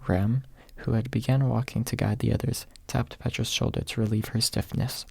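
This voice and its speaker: A man narrating an audiobook in a soft, close ASMR reading style, with a husky, gravelly voice. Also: mid-range voice, fairly even intonation, moderate pace, no room sound.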